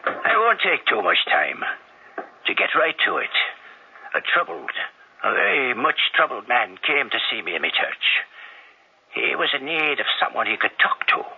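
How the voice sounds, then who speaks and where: A husky, insistent priest. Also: male voice, mid-range voice, big pitch swings, normal speed, heavily acted. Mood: earnest, insistent. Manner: emphatic, formal, rhetorical phrasing. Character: earnest, insistent priest, wry and persuasive. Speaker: actor in a radio drama. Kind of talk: old-time radio drama acting. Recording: muffled, narrow-band radio recording.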